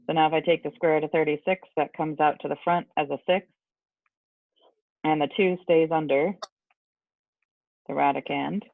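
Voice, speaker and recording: A man's voice: fairly high pitch, steady tone, moderate pace, creaky, long pauses, dry recording. A man teaching a casual online math lesson, chatty with rambling asides, his voice scratchy from allergies. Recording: dry, tinny phone-like sound.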